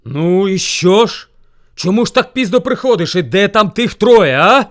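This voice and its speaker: A man's voice: high-pitched, animated, moderate pace, a bit bright, voice pushed up. A man voicing a furious, hungry bear who bullies and threatens as lord of the forest in a folk tale. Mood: gruff demanding. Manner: roaring, threatening, bellowing demands. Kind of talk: animated folk tale storytelling.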